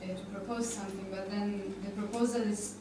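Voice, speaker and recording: Young woman, tentative panel participant, heavy room echo and clear background noise. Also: medium pitch, flat delivery, a little fast. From Argentina. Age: young adult. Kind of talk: informal discussion. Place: indoor conference room. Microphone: handheld microphone. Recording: echoey room, distant, noisy.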